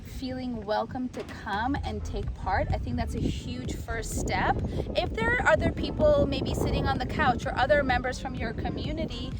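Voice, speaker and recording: A woman's voice: sits high, normal speed, heavy background noise. A woman, a board member interviewing golfers at an event, affirming and encouraging.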